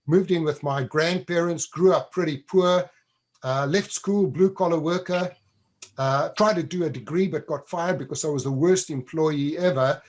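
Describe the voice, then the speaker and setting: Upbeat man, high voice. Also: normal speed. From South Africa. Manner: upbeat, conversational, leads the guest with questions. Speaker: webinar host. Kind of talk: webinar presentation.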